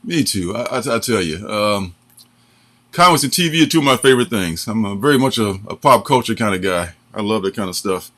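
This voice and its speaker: A man in his forties with a bright, animated voice, co-hosting a loose chat. He is chatty and quick to laugh, with many fillers along the way. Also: on the low side. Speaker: co-host. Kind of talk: casual chat.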